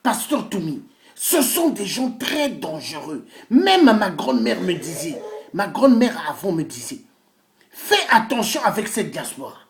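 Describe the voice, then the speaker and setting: Animated man, high-voiced and declamatory. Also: measured pace. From Congo-Brazzaville. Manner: declamatory, boastful, repeats phrases for emphasis. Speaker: self-styled pastor and political activist. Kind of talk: impassioned political monologue. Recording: echoey room sound.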